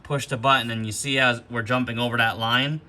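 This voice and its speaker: A man presents an informal, unscripted gear demonstration. His voice sits at a middling pitch but is strikingly bright and hard-edged. He explains each step plainly, in the manner of a hands-on instructor. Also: steady tone, fairly quick. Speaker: host of a gear demonstration video. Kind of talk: unscripted product walkthrough.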